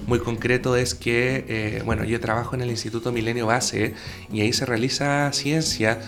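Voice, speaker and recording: A man's voice: medium pitch, level tone, moderate pace, over music. A man with a Chilean accent giving earnest, explanatory answers as an interview guest. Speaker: guest, secondary school science teacher.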